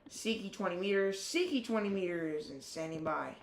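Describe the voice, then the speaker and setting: A ham radio operator hosting a live stream, chatting casually in halting, self-correcting sentences and calling out a call sign in phonetic letters. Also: mid-range voice, background noise.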